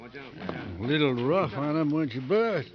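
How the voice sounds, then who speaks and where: A steady, firm male sheriff, plain-spoken and dutiful. His voice is a little high and swings in pitch, on a dull 1950s recording. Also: measured pace. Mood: businesslike. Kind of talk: 1950s radio drama acting. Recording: muffled, hissy 1950s radio recording.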